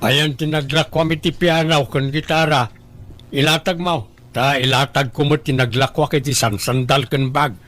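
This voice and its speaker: A man acts out a grumbling father in an audio drama. His voice is a little high for a man, and he sounds matter-of-fact, with an eye out for his own gain. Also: steady tone, normal speed, a bit bright, faint music behind, lightly acted. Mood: scheming. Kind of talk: Ilocano audio drama dialogue.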